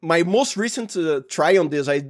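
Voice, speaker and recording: Brazilian male guest, Portuguese native, slightly high voice, halting conversational delivery with frequent restarts. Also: lively intonation. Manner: halting, restarts phrases often, chuckles. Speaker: sound designer, interview guest. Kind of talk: casual interview chat.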